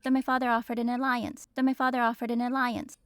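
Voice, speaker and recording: A woman voicing a young noblewoman in a fantasy audio drama, with measured, enunciated delivery. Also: fairly high pitch, flat delivery, dry recording, close to an everyday voice. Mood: earnest. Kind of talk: scripted audio roleplay acting.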